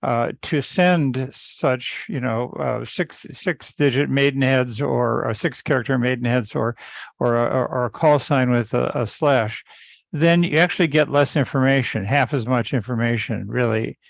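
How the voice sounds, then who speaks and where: A man giving a casual technical explanation in an online meeting, halting and often repeating the starts of words. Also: medium pitch, creaky, no echo. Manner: halting, stammering repetitions. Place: online teleconference call.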